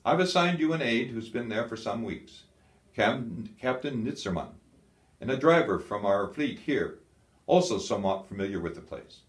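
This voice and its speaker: A male narrator plays a German field marshal from a wartime audiobook, curt, authoritative and scornful. The voice is pushed up higher and rings in a roomy, echoey space. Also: animated, moderate pace, natural voice. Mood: commanding. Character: commanding, scornful German field marshal, balding war veteran. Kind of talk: audiobook character dialogue.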